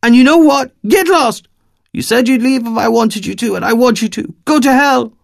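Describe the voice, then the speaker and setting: Man putting on a shrill scared homeless woman. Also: moderate pace, gravelly, no room sound, clean studio sound. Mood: hostile. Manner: shrill and exasperated. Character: scared, hostile homeless woman, snapping and cursing.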